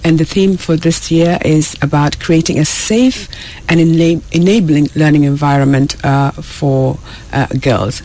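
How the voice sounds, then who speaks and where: A woman from South Sudan speaking as an interview guest, halting and often searching for words. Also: low-pitched, dark tone, a little hiss or hum, poor audio. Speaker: guest, education program worker. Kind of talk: radio interview.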